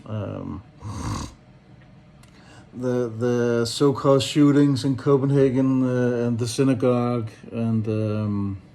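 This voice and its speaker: Man, Danish accent, slow pace, soft-edged relaxed tone, halting, blunt, casual rambling. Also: mid-range voice. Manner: hesitant, halting, often trailing off, blunt and sweary. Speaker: solo activist and vlogger. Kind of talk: rambling casual monologue to camera.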